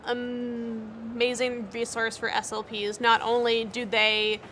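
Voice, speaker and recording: Young woman, stutters with repeats and blocks, earnest and encouraging, bright and high-pitched. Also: monotone, slow, slight background noise. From United States. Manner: stutters, with repeated words and broken-off sounds. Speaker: interviewee, speech-language pathologist. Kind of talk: interview answers.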